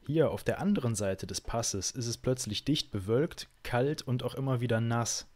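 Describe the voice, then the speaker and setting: A man's voice: medium pitch, moderate pace, a little mellow. A man from Germany, narrating a casual travel documentary as a vlogger.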